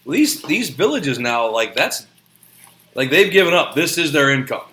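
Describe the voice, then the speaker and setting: A man's voice: fairly high pitch, sing-song, normal speed, pushed and bright. A man co-hosting a podcast, making crude, wisecracking comments in casual banter. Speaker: podcast co-host. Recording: echoey room.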